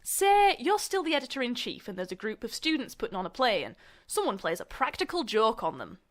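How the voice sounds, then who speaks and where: A woman's voice: a little high, huge pitch swings, brisk. A young woman acting as a university student in a comedic audio drama. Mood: condescending. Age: young adult. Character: young female student journalists and theatre director sparring.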